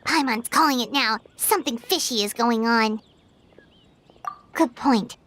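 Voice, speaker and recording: A woman voicing a tiny, curious floating fairy girl with a squeaky, childlike voice in a video game. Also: unhurried, fairly bright tone, a little hiss or hum. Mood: suspicious. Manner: speaks of herself in third person, exclaiming. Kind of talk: animated video game dialogue.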